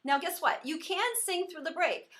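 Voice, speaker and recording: A woman voice teacher giving an encouraging singing lesson, explaining pitch and resonance as she demonstrates. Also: normal speed, fairly bright tone.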